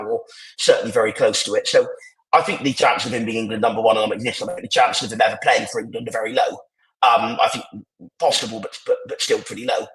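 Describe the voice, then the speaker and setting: A man giving his views on a podcast, rambling and opinionated with lots of restarts, his voice a little croaky from tiredness, speaking into earbuds on a video call. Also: medium pitch, a bit bright. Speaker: podcast panelist. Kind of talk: casual podcast discussion. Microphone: AirPods on a video call. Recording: echoey, poor sound quality.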